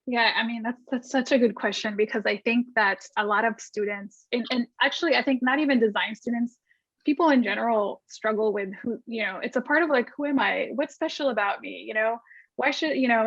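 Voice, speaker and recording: A woman's voice: on the high side, a little fast, a bit bright, no room sound. Chatty woman on a muffled video call. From Argentina. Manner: chatty, many restarts and fillers. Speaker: guest designer. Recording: dull lo-fi call audio.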